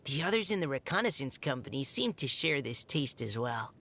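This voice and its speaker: A young woman voicing a shy, gentle, earnest army scout in a video game, speaking politely and hesitantly. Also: a bass voice, very animated, fairly quick, full character voice. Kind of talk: video game character voice lines. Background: music.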